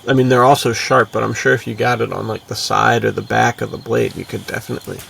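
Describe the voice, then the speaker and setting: Male podcast co-host, very creaky voice, bro-ish casual banter, slightly distant mic with faint noise. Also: medium pitch, steady tone, fast talker, fairly bright tone. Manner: slangy, bro-ish, full of "dude" and "like". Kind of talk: casual, enthusiastic chat.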